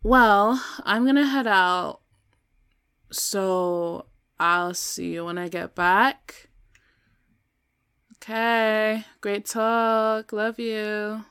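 A woman acting a foul-mouthed young woman in an audio drama, speaking in a halting, informal way with breathy murmurs. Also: medium pitch, fairly bright tone, dry recording, clean studio sound. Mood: strained, coaxing. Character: foul-mouthed young woman, casual with friends, pleading when threatened. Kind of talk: solo audio roleplay drama, one side of dialogue.

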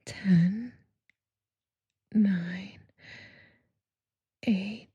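Slow, breathy whispering woman, intimate and teasing. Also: medium pitch, steady tone, dry recording, lo-fi sound. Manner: teasing, commanding, drawn-out. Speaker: erotic audio performer. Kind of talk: intimate erotic roleplay, whispered.

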